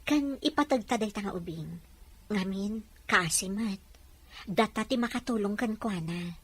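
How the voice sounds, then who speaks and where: A woman acts a patient caretaker in an audio drama. Her mid-pitched voice is natural and reassuring as she explains. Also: unhurried, a bit bright, husky.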